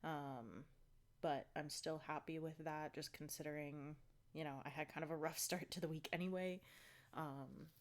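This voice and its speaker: Low-voiced woman, stuffy and breathless. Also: fairly even intonation, normal speed. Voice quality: congested, stuffy nose. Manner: chatty, rambling, many ums and likes. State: slightly out of breath. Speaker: fitness vlogger. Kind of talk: casual video diary.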